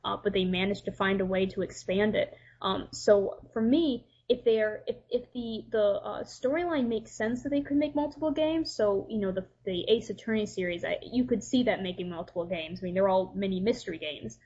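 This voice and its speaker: A woman's voice: mid-range voice, normal speed, hardly any pauses, a little mellow, breathy edge. A woman sharing opinions casually as a podcast guest, thinking aloud and restating her points. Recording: dull, lo-fi voice-chat audio.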